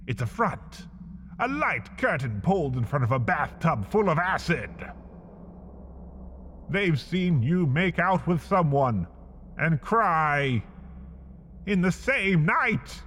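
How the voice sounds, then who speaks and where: Male storyteller, slightly high voice, dry humor, unhurried, comic second-person narration. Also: big pitch swings, fairly bright tone, long reverb tail. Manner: slow, wry second-person storytelling with big swings in pitch. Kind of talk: scripted comedic narration.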